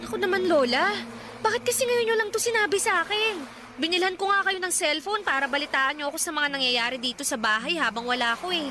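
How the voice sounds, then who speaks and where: A woman's voice: very high voice, fast, background noise. A woman playing an earnest, determined young granddaughter in a Tagalog radio drama, with an emotional, pleading delivery. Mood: alarmed, reproachful.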